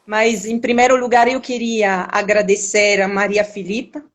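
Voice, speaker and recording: A woman's voice: mid-range voice, level tone, moderate pace. A woman from Italy with an Italian accent, a union vice-president and professor, speaking formally with frequent hesitations in a live video call. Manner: hesitant, many filler sounds, formal wording. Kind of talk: live-stream conversation.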